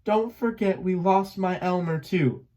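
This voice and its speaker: A man's voice: high-pitched, measured pace, dark tone, pitched above the performer's own voice. A man voicing an anxious, loving mother duck who cries out plaintively in a storybook. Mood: pleading. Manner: plaintive, crying out.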